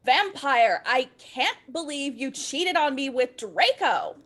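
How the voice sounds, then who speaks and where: A high-pitched, melodramatic teenage goth girl. She swings wildly in pitch and drags out her words in foul-mouthed, overwrought outbursts, with a bright, piercing edge. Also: sing-song, full character voice. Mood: furious outrage. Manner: shrieking, drawn-out, overwrought delivery. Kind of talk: comedic dramatic reading of fan fiction.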